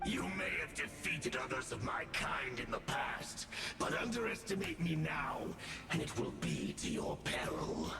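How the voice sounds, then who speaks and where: An actor playing an arrogant, menacing monster from the abyss in a video game, speaking in a theatrical, pompous, deliberate way. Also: male voice, on the high side, expressive. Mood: cold menace. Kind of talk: video game villain acting. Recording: echoing game audio over music.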